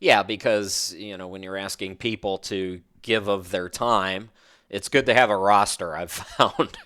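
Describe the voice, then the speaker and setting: Male interviewer, fairly low and very clear, smooth voice, informal podcast chat. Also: steady tone, unhurried, pushed and bright.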